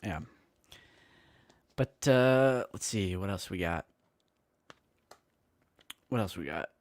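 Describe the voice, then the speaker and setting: A man's voice: deep voice, slow, no room sound. Joking man in his late twenties, dry sound. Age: late 20s. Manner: animated and joking, acts out quotes. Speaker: co-host of a toy collecting live stream. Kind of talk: casual live-stream chat.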